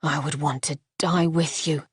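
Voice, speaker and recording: A woman voicing a gravely ill gentleman who speaks softly and tenderly in a lowered, hushed voice. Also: fairly even intonation, a little slow, dry recording, studio quality, exaggerated voice.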